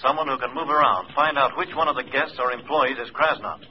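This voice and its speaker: Terse, urgent spymaster actor, muffled recording. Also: male voice, medium pitch, steady tone, brisk, lightly acted. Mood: urgent, firm. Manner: terse, urgent, matter-of-fact. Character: urgent, firm male secret service handler. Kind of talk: 1950s radio drama acting. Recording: muffled old radio recording, faint noise.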